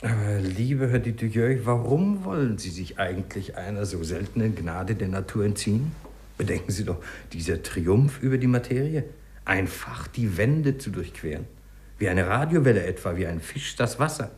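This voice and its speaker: A man acting an urbane, deep-voiced doctor. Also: lively intonation, moderate pace, darkish tone, slight background noise, close to an everyday voice. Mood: admiring, persuasive. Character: urbane, inquisitive male doctor, affable and self-assured. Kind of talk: 1950s German radio play acting.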